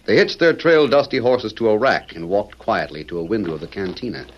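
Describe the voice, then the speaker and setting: A man's voice: lowish voice, animated, a little fast. Male announcer, grand dramatic delivery, swooping intonation, vintage radio serial, dull recording, music behind. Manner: grand, declamatory. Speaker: announcer and narrator. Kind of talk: dramatic old-time radio narration.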